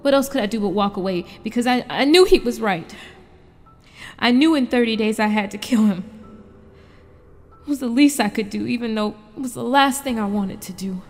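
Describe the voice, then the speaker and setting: A woman's voice: on the high side, moderate pace, soft background music, natural voice. A woman playing an anguished wife trapped in frozen time, in an emotional audio drama with narration and dialogue. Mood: somber reflective.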